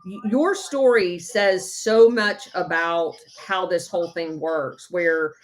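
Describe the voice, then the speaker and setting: A woman hosting a casual chat, eager and encouraging, filling pauses with many ums. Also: on the low side, low-quality recording. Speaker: podcast host.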